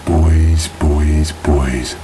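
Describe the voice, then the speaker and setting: A sinister otherworldly tormentor from a horror radio play. The voice is extremely deep and drags slowly, taunting and full of menace. Clear hiss sits behind every pause. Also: male voice, fairly even intonation, exaggerated voice. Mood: menacing taunting. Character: sinister male supernatural entity phoning in to torment. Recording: noisy, hissy phone-in line.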